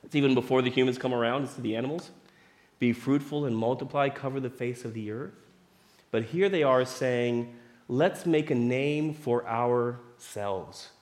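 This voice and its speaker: A man's voice: medium pitch, normal speed, a little mellow. A middle-aged man preaching a sermon in a conversational way, asking the listeners rhetorical questions. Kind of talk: sermon teaching.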